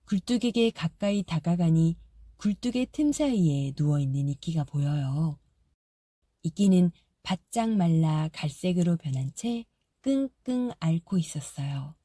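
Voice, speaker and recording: A woman narrating a fairy-tale audiobook in a soft, gentle storytelling manner. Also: fairly low pitch, expressive, a little slow, no room sound, clean studio sound.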